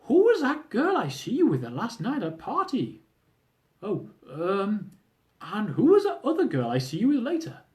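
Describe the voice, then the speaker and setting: A man's voice: huge pitch swings, moderate pace. A man putting on a teasing sing-song vet nurse. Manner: high, sing-song, mock-innocent questions. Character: teasing female Thai vet nurse, sarcastic, in broken English.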